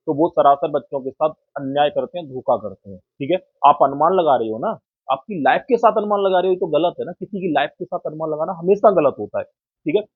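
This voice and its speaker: A man's voice: on the high side, slightly rough. A man giving informal, blunt, exhortative advice to students and often checking for agreement, on a muffled, tinny recording. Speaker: exam coaching YouTuber. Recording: muffled, tinny phone-like lo-fi audio.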